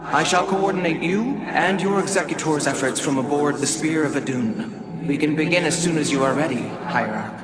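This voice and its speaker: A grave alien elder voiced by a woman briefs troops in measured, ceremonious phrases. It is formal game-cutscene acting set against prominent music. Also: low voice, close to an everyday voice, poor audio. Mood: grave briefing.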